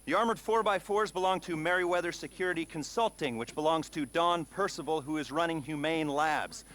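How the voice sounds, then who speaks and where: An actor playing a cynical, secretive agent in a video game, briefing a crew in a blunt, conversational way. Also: male voice, on the high side, a little fast, a bit bright, natural voice. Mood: businesslike.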